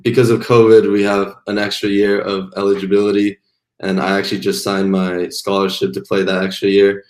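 A young man from Taiwan, a podcast guest, telling his story in a relaxed, casual way with fillers. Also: on the low side, a little fast, reverberant, rough recording. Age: young adult. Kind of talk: casual interview answers.

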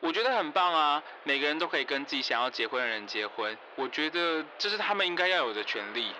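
A man's voice: fairly high pitch, lively intonation, fast talker, close to an everyday voice. A man playing a supportive passer-by answering a street interview in an audio drama. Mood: approving. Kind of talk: audio drama vox pop. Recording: noisy outdoor street with traffic.

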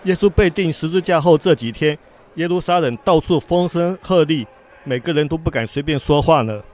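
An actor playing a cautious, worried disciple who is easily exasperated, in a radio Bible drama. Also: male voice, a little high, normal speed, a little mellow, close to an everyday voice.

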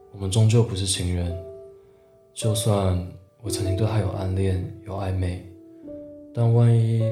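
A reserved, hesitant man in his forties played by a young male actor speaks low in a roomy, echoing space, with guitar music behind him. He is a wary, secret romantic from a radio drama. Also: natural voice. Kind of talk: radio drama acting with inner monologue.

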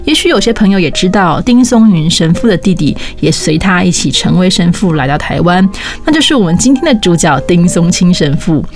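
A woman's voice: on the low side, animated, brisk, few pauses. A woman with a Taiwanese Mandarin accent presenting a scripted introduction as the host of a program. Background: music behind the voice.

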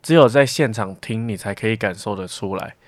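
Lowish-voiced young man in an interview. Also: brisk, no echo. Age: young adult. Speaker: student interview guest. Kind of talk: casual interview chat.

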